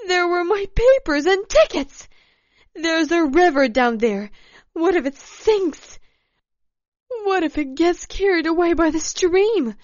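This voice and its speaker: A woman storyteller voicing a furious, irritable, demanding woman who snaps and wails loudly. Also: medium pitch, expressive, drawn-out words, pauses often, no room sound, muffled recording. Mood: furious, distraught. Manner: loud, snapping, wailing outbursts. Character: furious, shrill, foul-mouthed woman hiker, irritable and demanding.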